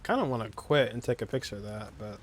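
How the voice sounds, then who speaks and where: Very low-voiced woman gaming. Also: lively intonation, fast, slight background noise. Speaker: gaming streamer. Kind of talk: casual let's play commentary, reading game text aloud. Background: game music.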